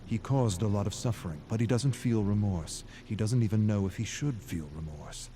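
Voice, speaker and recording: Low-voiced man narrating a dark story. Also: noisy background. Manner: brooding, deliberate short phrases with dramatic pauses. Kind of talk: dramatic scripted story narration.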